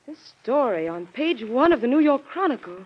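A woman's voice: high-pitched, sing-song, moderate pace. Loyal secretary lady in an old radio play, polite, very up and down intonation, muffled. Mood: concerned, gentle. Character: loyal, practical woman secretary to the President. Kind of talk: old-time radio drama acting. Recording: dull lo-fi vintage radio recording.

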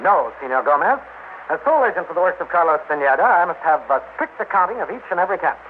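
Fast-talking officious agent, old radio drama. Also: male voice, a little high, very animated, phone-quality sound. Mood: brisk, insistent. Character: officious, demanding male art agent. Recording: thin, slightly noisy old radio broadcast.

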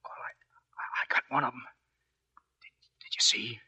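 A man's voice: normal speed, bright, forceful tone, whispered. A man playing a dying young wounded sailor, weak and gasping, in 1940s radio acting. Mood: weak, proud. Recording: dull 1940s radio broadcast recording.

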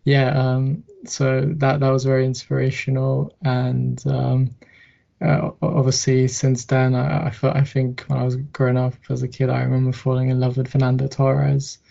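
A young man from Hungary raised in England, a journalist guest on an interview podcast, who speaks haltingly with many fillers and restarts. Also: medium pitch, monotone, vocal fry, warm tone, lo-fi sound. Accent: English. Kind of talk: casual interview chat.

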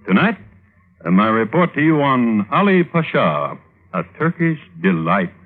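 An actor playing a droll, macabre true-crime host, narrating formally and wryly in 1950s radio style. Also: male voice, mid-range voice. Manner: wry, deadpan, formal with deliberate hesitations. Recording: tinny old radio recording.

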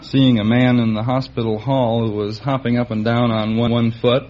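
A man's voice: medium pitch, flat delivery, moderate pace, darkish tone. A man answering as a quiz contestant in a hesitant, understated way. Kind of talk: unscripted interview answers. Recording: vintage radio, dull, faint noise.

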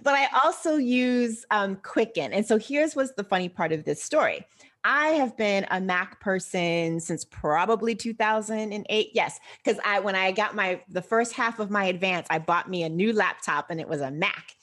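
Animated American woman in a casual interview. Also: mid-range voice, moderate pace. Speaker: interview guest, personal finance expert.

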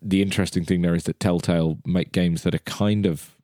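A man in a casual podcast discussion, putting points to the panel in a measured, articulate way. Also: low voice, fairly even intonation, dark tone, no room sound, studio quality.

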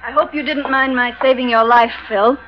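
A woman's voice: high-pitched, steady tone, normal speed, natural voice. A woman playing a cool, coquettish, scheming femme fatale in an old radio drama, arch and sweetly coy. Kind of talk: 1940s radio drama acting. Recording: muffled old radio recording.

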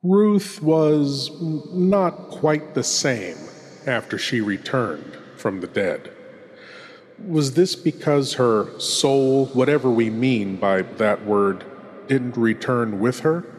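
A man hosting horror stories with theatrical, ominous, deliberate narration full of pauses. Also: mid-range voice, lively intonation, slight background noise. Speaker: horror host. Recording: long cavernous reverb. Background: faint music.

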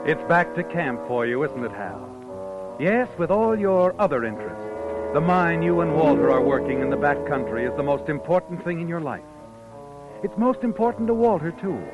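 Guy acting as spooky all-knowing radio narrator, highish voice swooping around, theatrical. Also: animated, muffled recording, close to an everyday voice. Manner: theatrical, brooding, dramatic pauses. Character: ominous mysterious all-knowing male narrator who walks by night. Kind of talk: 1940s radio mystery narration. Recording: vintage radio recording with music behind.

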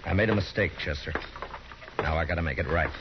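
An actor playing a steady frontier marshal who narrates in a measured, grave first person in a 1950s radio drama. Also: male voice, sits low, background noise, close to an everyday voice. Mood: firm. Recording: dull 1950s radio broadcast with music.

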